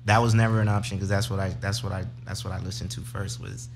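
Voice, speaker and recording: A man's voice: low-pitched, level tone, fast talker, faint music behind. A man from Zimbabwe and the United States, a musician answering questions conversationally as an interview guest.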